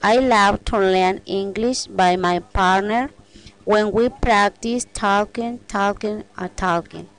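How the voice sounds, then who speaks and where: A woman's voice: mid-range voice, slow, poor audio. A woman who is an adult English learner and mother, listing things in simple phrases.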